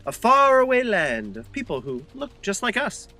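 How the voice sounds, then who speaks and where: A man playing an excitable, gushing male sidekick in a comic audio drama. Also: high-pitched, moderate pace, soft background music, exaggerated voice. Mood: wry. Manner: gushing, exclamatory.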